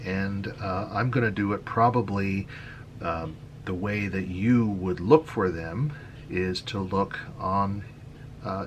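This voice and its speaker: A man giving a casual tutorial as a hobby video host, talking haltingly with frequent hesitant pauses. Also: low-pitched, gravelly, slight background noise. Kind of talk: casual screen-share tutorial.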